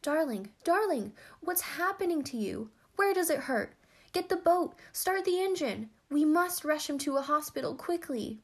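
A woman's voice: sits high, lively intonation, moderate pace, pitched above the performer's own voice. A woman voicing a frantic, bossy older sister in love in a storybook, crying out urgently and imperiously.

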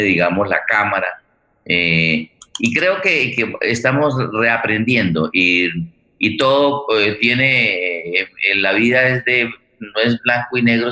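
A middle-aged Colombian man hosting a forum in a reflective, unscripted way, halting and searching for his words. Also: lowish voice, pushed and bright, low-quality recording. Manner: halting, searching for words, with repeated words and long hesitations. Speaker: host of a radio theatre forum.

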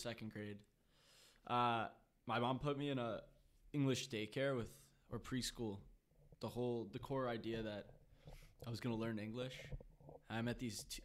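A man's voice: medium pitch, fairly bright tone. A young man with Russian as his first language, a podcast guest chatting casually, tired, laughing and slangy as he tells stories. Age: young adult. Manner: loose, laughing storyteller, heavy slang. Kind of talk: casual chat.

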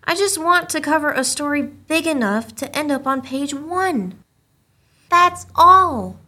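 A woman's voice: high-pitched, expressive, a little slow, pushed and bright, full character voice. A woman playing an earnest, inquisitive small-town newspaper reporter in a radio play, in a conversational style. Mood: wry, wistful.

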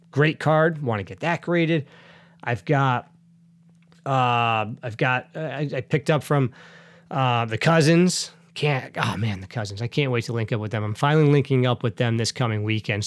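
A middle-aged man talks straight into a close podcast mic, with no room sound at all. He rambles in a relaxed, chatty way, often correcting himself mid-sentence. He sounds a bit sniffly as he gets over a cold. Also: medium pitch, no room sound. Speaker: podcast host. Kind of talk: casual solo podcast chat.

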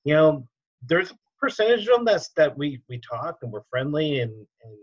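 A man's voice: fairly high pitch, big pitch swings, normal speed, slightly rough, highs cut off. A man hosting a podcast interview, talking easygoingly with many fillers and restarts. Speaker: podcast host, military veteran. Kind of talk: casual interview chat.

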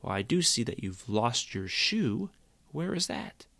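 A man's voice: medium pitch, very animated, unhurried, vocal fry, pushed and bright, full character voice. A man voicing a worried mother scolding her young son, skeptical of his tale.

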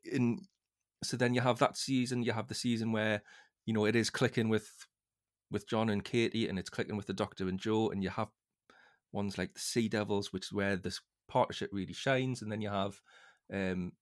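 A man hosting a casual podcast discussion in a halting way, often repeating words as he goes. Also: lowish voice, fairly even intonation. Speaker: podcast host.

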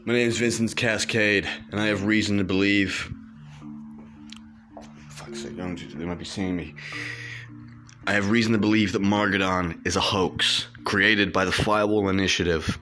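A man's voice: on the low side, fairly even intonation, moderate pace, over music, close to an everyday voice. A man playing a paranoid fugitive detective. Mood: frightened. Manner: stammering, hushed, jittery.

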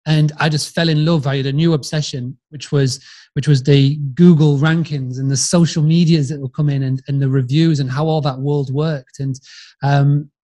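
A man's voice: a little high, steady tone, moderate pace, mellow. A man in his forties with a Yorkshire accent, a podcast guest telling his story in a friendly, chatty way, often restarting and repeating words. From England, Yorkshire. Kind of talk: casual storytelling interview.

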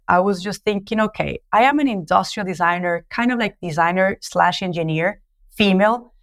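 Colombian woman, spanish accent, tells her story with lots of energy, middle pitch voice, calling in. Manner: animated storytelling, frequent laughs and restarts. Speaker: guest, social entrepreneur. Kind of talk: remote podcast interview. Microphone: call audio.

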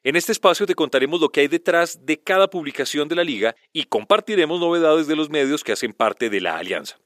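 A man's voice: fairly high pitch, expressive, brisk, fairly bright tone, no room sound, studio quality. A man reading a podcast's scripted opening announcement in an upbeat way.

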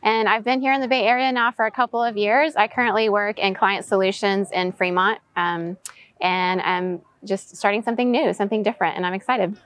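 A woman's voice: mid-range voice, fairly even intonation, brisk, fairly bright tone, no echo. A woman in her forties who was raised in Venezuela, answering reflectively as an interview guest in a casual conversation. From raised in Venezuela, US-born. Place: outdoors, in person.

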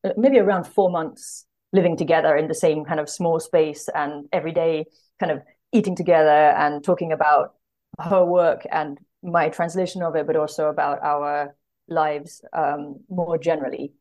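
Woman, deep register, conversational, reflective, earnest, searching for words, interview guest. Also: fairly even intonation, normal speed, a little mellow. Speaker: podcast guest, literary translator.

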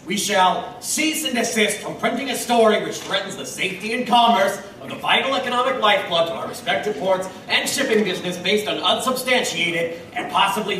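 A man's voice: high voice, expressive, normal speed, pushed and bright. A man playing an irascible, exasperated newspaper editor in an audio drama, with blustering, exclamatory delivery. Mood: irritated. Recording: very echoey room, distant mic, noisy.

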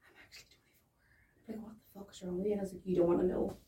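A woman's voice: medium pitch, level tone, very fast, dark tone, slight background noise. A woman in her thirties chatting casually, swearing freely and laughing often. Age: 30s. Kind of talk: casual chat. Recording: roomy, from a distance.

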